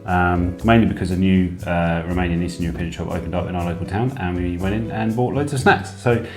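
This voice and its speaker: A man's voice: sits low, steady tone, fast talker. A man co-hosting a casual snack-tasting chat at home, hesitant and chatty with lots of ums. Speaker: co-host. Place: home room. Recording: echoey room with background music.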